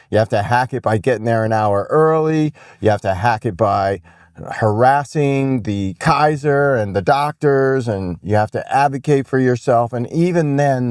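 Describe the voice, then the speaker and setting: A man's voice: medium pitch, darkish tone, dry recording, studio quality. An American man hosting an opinion podcast, ranting casually in an exasperated, emphatic way and repeating phrases for effect.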